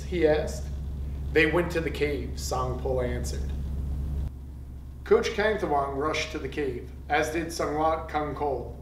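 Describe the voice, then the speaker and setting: A man reading a nonfiction story aloud as narrator. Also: a little high. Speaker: reader of a book chapter for a school project. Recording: echoey, noisy, distant mic.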